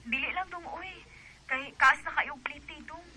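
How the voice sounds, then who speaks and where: Young Cebuano girlfriend, caring and practical, high bright voice, radio drama. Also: moderate pace. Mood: resigned, plaintive. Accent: Filipino, Cebuano.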